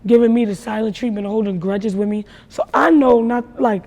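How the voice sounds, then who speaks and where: A young woman with a naturally raspy voice talks about her life in a relaxed interview, her stories emphatic and full of slang. Her voice rings with a long reverb, as if she is in a big hall. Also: on the high side, a little fast. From New York. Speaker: rapper guest. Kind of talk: casual interview talk.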